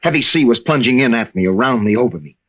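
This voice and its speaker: A man plays a haunted young American navy veteran in a vintage radio drama. His voice is husky and swings widely in pitch, with halting, earnest phrasing. The recording is dull and old. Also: lively intonation, close to an everyday voice. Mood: haunted, confessional. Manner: halting, earnest, with stammered restarts. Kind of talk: 1940s-50s radio drama acting.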